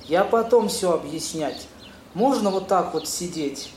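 High-pitched young gentleman played by a man, flustered and polite, halting foreign grammar, roomy audio-play sound. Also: lively intonation. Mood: flustered, pleading. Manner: halting, ungrammatical foreigner's speech. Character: courteous young Italian man, flustered, speaking broken Russian. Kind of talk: amateur audio play acting. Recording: roomy echo, noisy birdsong ambience.

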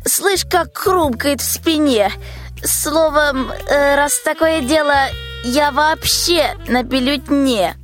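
A woman's voice: high-pitched, takes it slowly, soft background music. A female performer playing a sly, ailing old witch who grumbles in folksy rhyme in a radio fairy tale. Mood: complaining. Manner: folksy, rhyming, grumbling speech.

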